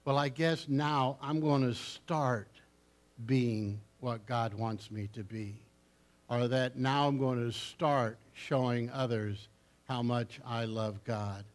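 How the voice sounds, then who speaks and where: An older man, a pastor, preaching a story-filled sermon in a church sanctuary, rising loud on key phrases and dropping low, recorded from a camera at the back. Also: takes it slowly. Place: church sanctuary with seated congregation. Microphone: far camera at the back of the church.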